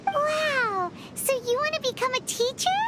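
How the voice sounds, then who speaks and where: Extremely high squeaky tiny fairy companion. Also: female voice, a little slow, noisy background. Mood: curious, excited. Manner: exclamatory, chirpy. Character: tiny floating fairy companion, childlike, bubbly, curious. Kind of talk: scripted video game dialogue. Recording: loud music behind the voice.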